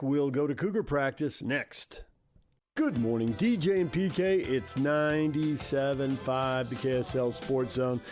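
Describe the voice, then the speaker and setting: A man's voice: fairly high pitch, a little mellow. A man hosting a sports radio show in a conversational, on-air style. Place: radio studio.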